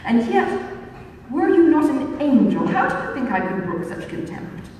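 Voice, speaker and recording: A woman gives voice to a forward young gallant who flatters and pleads without pause, his gushing lines rising and falling in a lively way. The sound is roomy and distant, caught from across a reverberant hall. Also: high-pitched, a little hiss or hum, voice pushed up. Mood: wounded. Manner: eager, effusive, persistent gallantry. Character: bold flattering young gentleman suitor. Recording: echoey hall, mic far off.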